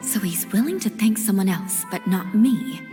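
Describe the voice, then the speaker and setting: An actress playing a proud, aloof young noblewoman with a haughty, clipped, scolding manner in an animated game. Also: mid-range voice, moderate pace, over music, close to an everyday voice. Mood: grudging. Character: proud, aloof young noblewoman, sharp-tongued, secretly caring.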